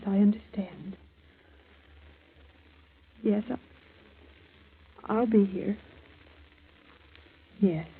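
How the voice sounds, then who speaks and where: A woman's voice: medium pitch, husky, full character voice. An actress playing an anxious but determined young girl in a 1940s radio mystery, earnest and breathless, on a muffled old recording. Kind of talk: 1940s radio drama acting.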